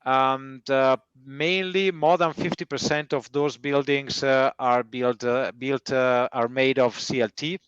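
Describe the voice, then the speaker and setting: Italian-accented man, smooth dry call audio. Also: mid-range voice, steady tone, measured pace, few pauses, a bit bright, dry recording, lo-fi sound. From Italy. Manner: hesitant, many "uh" fillers, careful explaining. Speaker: guest timber engineer. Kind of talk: interview answers.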